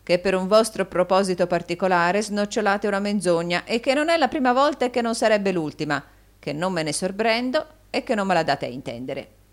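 A woman's voice: lowish voice, lively intonation, normal speed, pitched above the performer's own voice, natural voice. A woman voicing a cold, impatient businessman in an audiobook, speaking in a dry, cutting manner. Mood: cold disbelief.

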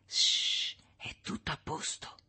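A woman reading an audiobook voices a gentle, dreamlike wife who soothes in a hushed, breathy voice. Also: takes it slowly. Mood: soothing.

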